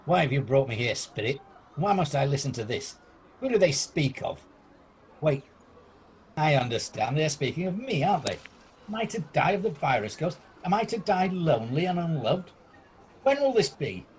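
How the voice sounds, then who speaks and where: A man's voice: fairly high pitch, sing-song, normal speed, low-quality recording. A man playing an irascible, fearful older miser in an amateur radio play, blustering and indignant. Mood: bewildered, fearful. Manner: indignant, blustering, stammers when agitated. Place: at home, recorded over video call. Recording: faint noise, home video-call recording.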